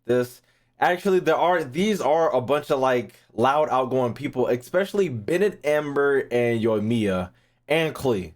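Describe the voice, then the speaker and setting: A man with a medium-pitched voice talks casually to his livestream chat. He thinks out loud and rambles from point to point. His language is loose and full of swearing. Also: lively intonation, measured pace. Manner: rambling, foul-mouthed. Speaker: streamer.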